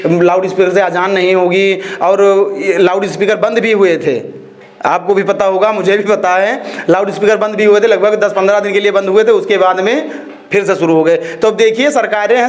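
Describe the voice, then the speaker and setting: A man giving opinionated interview answers, emphatic and argumentative, repeating phrases and throwing out rhetorical questions. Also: sits high. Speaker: interviewee, social activist. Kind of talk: opinionated street-interview talk.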